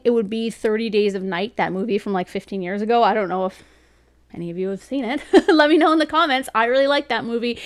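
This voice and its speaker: A woman in her thirties giving a casual, chatty review as host, informal in manner and talking straight to the camera. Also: fairly high pitch. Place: small indoor room lined with bookshelves.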